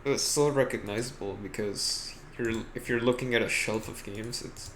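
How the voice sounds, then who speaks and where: Male co-host, average pitch, wry and sweary off-the-cuff banter, noticeable noise behind the voice. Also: moderate pace, rough recording. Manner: slangy, profane, wry. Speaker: podcast co-host. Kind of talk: casual reactive banter.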